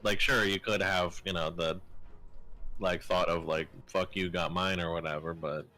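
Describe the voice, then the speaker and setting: A man's voice: sits low, fairly even intonation, normal speed, sharp tone, soft background music. A young man co-hosting a stream, talking casually with friends in a blunt, rambling way. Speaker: live stream co-host. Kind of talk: casual chat among friends.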